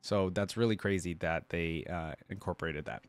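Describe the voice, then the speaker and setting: Low-voiced man casually reacting, dry close sound. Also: moderate pace, no room sound. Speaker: reaction video host. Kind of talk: casual reaction commentary, reading aloud.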